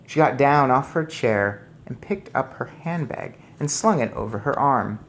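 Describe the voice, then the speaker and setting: Guy narrating a childrens storybook, really animated up and down voice. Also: mid-range voice, a little slow, slight background noise. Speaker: storybook reader.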